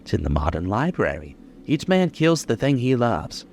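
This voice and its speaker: An actor playing a lonely, menacing killer in a 1940s-style radio suspense drama, talking in slow, colloquial tough-guy speech. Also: medium pitch, wildly swooping pitch, darkish tone, a little hiss or hum. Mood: brooding, wistful.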